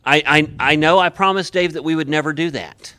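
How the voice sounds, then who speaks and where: A man playing a sheepish, defensive remodeling crew boss with a halting way of talking, in improvised comedy. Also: fairly high pitch, bright, forceful tone, no echo. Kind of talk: improvised comedy podcast acting.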